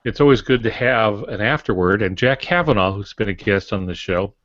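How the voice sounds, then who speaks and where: A man with a lowish voice, teaching casually as a podcast co-host. He gives advice in short maxims, heard close and dry with little room sound. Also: expressive, fairly quick, fairly bright tone, no echo. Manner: advisory, speaks in maxims. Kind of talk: casual instructional talk. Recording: dry, dull lo-fi podcast audio.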